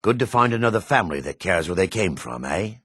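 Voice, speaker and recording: An actor playing a proud, gruff dark elf warrior in a fantasy game, with a raspy voice and a haughty, clipped, sardonic manner. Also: male voice, low-pitched, lively intonation, fast, no room sound, clean studio sound, heavily acted. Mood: proud, disdainful. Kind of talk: fantasy video game character dialogue.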